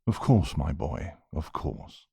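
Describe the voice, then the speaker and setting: Man as a very deep-voiced, genial uncle. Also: animated, slow, gravelly, breathy edge, no echo, studio quality, exaggerated voice. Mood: reassuring, pleased. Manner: gentle, assured, courtly. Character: genial, reassuring aristocratic uncle. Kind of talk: audio drama acting.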